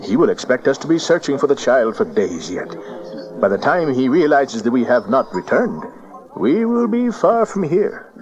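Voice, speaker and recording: Guy acting wise solemn magus leader, mid pitch but swings a lot, muffled tape. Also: very animated, moderate pace, natural voice. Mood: solemn, urgent. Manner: solemn, dramatic. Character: wise, grave eastern traveller, a magus leader. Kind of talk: dramatized audio Bible acting. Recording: dull cassette, crowded background.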